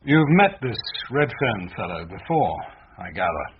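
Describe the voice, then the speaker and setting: Stern, animated husband. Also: male voice, fairly low pitch, a little slow. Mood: suspicious. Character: suspicious, restrained English husband, quietly reproachful. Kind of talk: radio drama acting. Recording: muffled, noisy radio drama recording.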